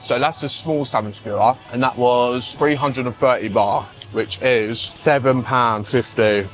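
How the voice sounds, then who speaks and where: A man's voice: mid-range voice, a little slow, soft background music. A man talking casually to camera as a fitness vlogger, chatty and blunt, swearing now and then. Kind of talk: casual vlog chat.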